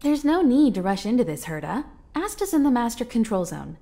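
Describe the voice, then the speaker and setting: A woman playing a poised, patient and kindly female mentor in a video game, composed and gently reasoning. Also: fairly high pitch, sing-song, brisk. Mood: calm, reassuring. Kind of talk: video game character dialogue.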